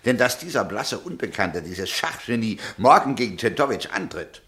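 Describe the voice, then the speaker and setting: An actor playing a blustering, jovial, bossy gentleman in a radio drama, speaking in an emphatic, commanding way. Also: on the low side, very animated, moderate pace. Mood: jovial, eager.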